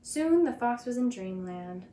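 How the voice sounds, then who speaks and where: A woman narrating a children's story as a reader, in an expressive storytelling style. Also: fairly high pitch, a little slow. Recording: echoey room, faint background noise.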